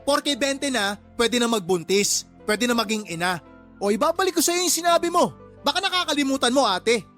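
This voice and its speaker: A Filipino actress playing an angry, reproachful younger sister in a radio drama, scolding and sarcastic. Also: female voice, on the high side, lively intonation, fairly quick, fairly bright tone, faint music behind. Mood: exasperated, accusing. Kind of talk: Tagalog radio drama acting.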